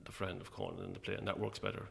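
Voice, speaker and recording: Deep, gravelly Irish man chatting. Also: level tone, racing, fairly bright tone, a little hiss or hum. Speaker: playwright interviewed as guest. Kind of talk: casual interview chat.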